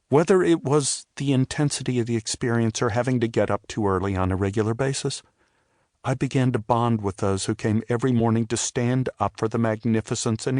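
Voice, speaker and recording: A man's voice: medium pitch, a little mellow, no echo. Reflective older man narrating, dry studio sound. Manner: reflective, measured storytelling. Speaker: narrator and playwright telling his own story. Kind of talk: scripted dramatic narration.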